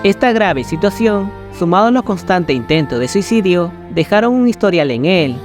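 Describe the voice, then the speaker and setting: A man narrates scripted creepypasta tales as a storyteller. His voice sits a little higher than most men's. Music plays faintly behind him. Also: animated, moderate pace. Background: background music.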